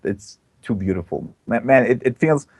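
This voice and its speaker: A man's voice: medium pitch, animated, moderate pace, husky, dry recording. A young man from Belgium, a guest in a casual interview, talking with enthusiasm and plenty of "uh"s and "like"s. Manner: enthusiastic, chatty, many fillers. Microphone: online call mic.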